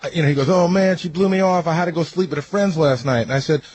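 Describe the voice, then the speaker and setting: A man's voice: a little high, fast talker, a little mellow. A man on a radio show's staff, casually recounting events in banter. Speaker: radio show staff member.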